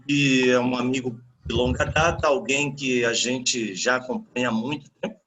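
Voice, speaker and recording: A man's voice: mid-range voice, bright, forceful tone, poor audio. A man hosting an online talk, introducing a guest in a friendly, colloquial way. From Salvador, Brazil. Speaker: host, pastor introducing a guest lecturer. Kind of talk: informal spoken introduction to an online lecture.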